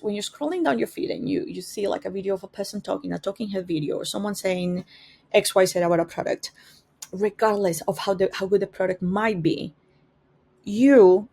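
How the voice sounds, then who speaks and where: A woman's voice: mid-range voice, vocal fry, darkish tone, breathy edge. A woman with a strong Spanish accent, a native Spanish speaker, teaching an informal marketing class in a conversational way and often searching for words. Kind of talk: informal master class talk.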